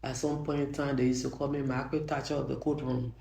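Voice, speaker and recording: Older woman, Liberian accent, soft voice, earnest, roomy echo. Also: very deep voice, level tone, fast, gravelly, background noise. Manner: earnest, frequent restarts, grows emphatic. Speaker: judge. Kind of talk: interview answers, reflective storytelling.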